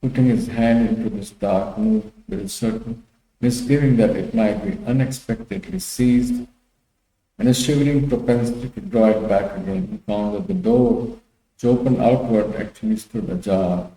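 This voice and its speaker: A man's voice: mid-range voice, sing-song, moderate pace, gravelly, mellow, echoey room, rough recording. A man narrating a classic literary story as a dramatic audiobook reading.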